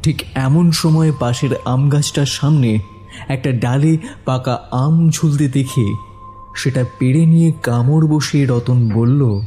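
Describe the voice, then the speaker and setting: A man narrates a horror story from a script in a dark, mellow voice. His pitch is medium, and faint music plays behind him. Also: measured pace.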